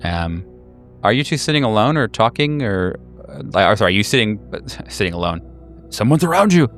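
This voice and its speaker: A man's voice: fairly low pitch, very animated, fairly quick, fairly bright tone, soft background music. A man improvising narration as game master, casual and hesitant, rephrasing as he goes.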